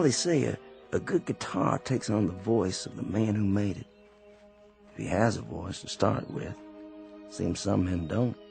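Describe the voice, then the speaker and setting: A soft-spoken veteran in a radio play, low and gravelly, plain folksy talk. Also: male voice. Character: gentle, weary man home from war, folk craftsman. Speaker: actor in a radio drama. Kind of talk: radio drama acting.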